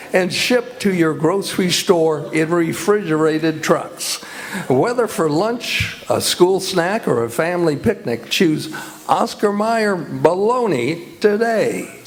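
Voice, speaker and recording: An American man announcing in old-time radio style, reading introductions and sponsor copy. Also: a little high.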